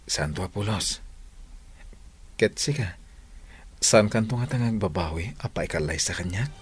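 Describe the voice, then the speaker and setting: Husky, low-voiced young fiancé. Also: male voice, lively intonation, moderate pace. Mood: reassuring, tender. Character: earnest, reassuring young fiancé in love. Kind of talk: romantic radio drama acting.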